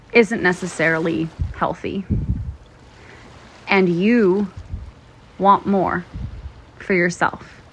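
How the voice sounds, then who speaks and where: A woman narrating a guided meditation in a gentle, soothing, measured way, pausing often between phrases. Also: on the low side, slight background noise, low-quality recording. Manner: gentle, soothing, measured delivery with frequent pauses.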